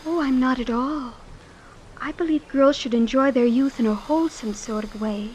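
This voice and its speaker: A woman's voice: high voice, normal speed, darkish tone, a little hiss or hum, lightly acted. A woman playing a self-assured, sheltered teenage rich girl with artless candor, in old-time radio drama style. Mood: breezily self-assured. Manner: artless, matter-of-fact candor.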